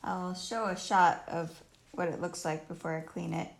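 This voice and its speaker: A woman with a croaky voice casually teaching painting on a livestream, thinking aloud in short phrases as she works. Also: lowish voice, steady tone, moderate pace. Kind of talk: casual live painting tutorial. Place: indoors at home, live stream while painting. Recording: roomy live stream with faint noise and clicks.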